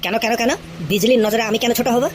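Fast-talking hot-headed cartoon lover boy played by a man. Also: very high-pitched, slight background noise. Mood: puzzled. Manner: blustering, repeats words in bursts. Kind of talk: exaggerated comedy cartoon dialogue.

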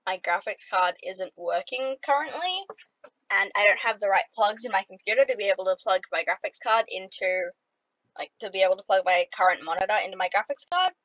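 A chatty Australian woman talks her way through a computer game at her desk. She rambles with plenty of ums and fillers, then bursts into playful excitement. Her voice sits at an ordinary middle pitch. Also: brisk, no room sound, lo-fi sound. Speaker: gaming vlogger. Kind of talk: casual game commentary.

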